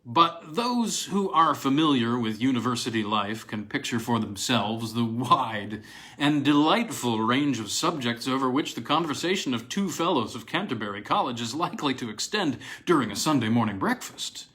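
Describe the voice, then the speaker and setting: A man reading a literary story aloud as narrator, in an expressive storytelling style. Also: mid-range voice, moderate pace. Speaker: narrator, reader.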